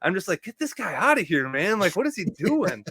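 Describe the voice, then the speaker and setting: A man's voice: sits high, big pitch swings, brisk, sharp tone, husky, no echo. A man co-hosting a podcast in casual fan discussion, informal and opinionated, on a close microphone. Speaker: podcast host.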